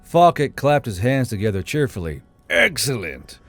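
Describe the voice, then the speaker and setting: A man's voice: mid-range voice, lively intonation, moderate pace, darkish tone, faint music behind. A man reading scripted audiobook narration of a story.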